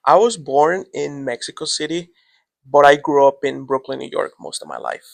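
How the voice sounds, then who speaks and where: Man, Spanish native speaker, teacher in a casual interview, rambling, raspy fry, dry close sound. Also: fairly high pitch, normal speed, dry recording. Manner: relaxed, rambling, trailing off with "so".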